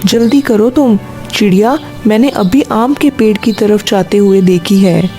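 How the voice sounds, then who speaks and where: A woman's voice: mid-range voice, a little slow, warm tone, lightly acted. A woman voicing a meek, submissive male crow husband in a cartoon story, questioning and easily bossed. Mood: eager. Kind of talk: Hindi cartoon story dialogue. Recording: faint music behind the voice.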